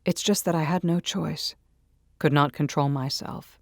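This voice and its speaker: A woman's voice: deep voice, steady tone, a little slow, darkish tone, dry recording, clean studio sound. A woman narrating a first-person story in a dramatic, intimate and intense manner.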